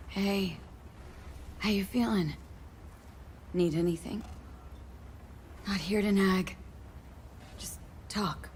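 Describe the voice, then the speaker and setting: A woman's voice: medium pitch, very slow, long pauses, a little mellow. An actress voicing a tough, blunt, guarded woman mercenary in a video game, over some background noise. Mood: earnest, pressing. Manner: blunt, clipped.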